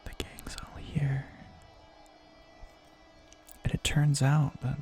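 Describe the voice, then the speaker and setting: A man's voice: medium pitch, completely flat delivery, takes it slowly, a little mellow, close to an everyday voice. A man playing a bitter, mocking villain in an ASMR roleplay monologue, speaking theatrically with sardonic asides and often dropping toward a whisper. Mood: exasperated, menacing. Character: bitter, mocking male villain revolutionary monologuing at a fallen hero. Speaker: voice actor in an audio roleplay.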